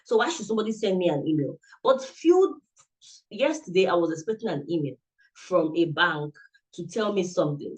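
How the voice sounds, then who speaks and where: A man's voice: sits high, a little mellow, low-quality recording. A man giving a motivational talk as a presenter at an online summit, earnest and coaching, often repeating himself, speaking into a computer mic on a video call. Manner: earnest, repetitive, coaching directly.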